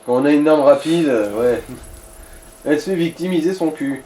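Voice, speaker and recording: A man's voice: on the high side, moderate pace, dark tone, poor audio. A man giving casual, blunt game commentary and advice to a player, with crude slang. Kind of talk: casual let's play commentary. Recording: light background noise.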